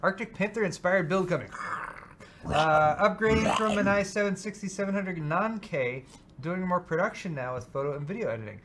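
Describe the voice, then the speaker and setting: A man's voice: sits high, expressive, moderate pace, noisy background. A man co-hosting a casual live show, talking in an offhand, tongue-in-cheek way with dry humor. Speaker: co-host of a live tech show. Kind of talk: casual banter and tech commentary.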